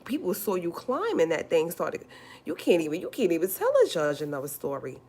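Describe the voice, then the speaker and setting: A forty-year-old woman talks straight to her livestream viewers. Her voice sits very low, and she hammers her points home by repeating them. She calls listeners honey as she goes. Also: wildly swooping pitch, brisk, a little mellow, breathy edge. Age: 40s. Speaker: livestream host. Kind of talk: casual livestream talk.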